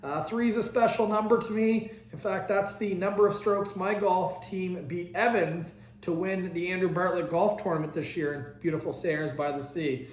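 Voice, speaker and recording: In a roomy, echoing recording, a man with a notably high voice speaks as a town mayor. He delivers a congratulatory message laced with teasing. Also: fairly even intonation, normal speed, hardly any pauses. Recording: echoey, roomy sound.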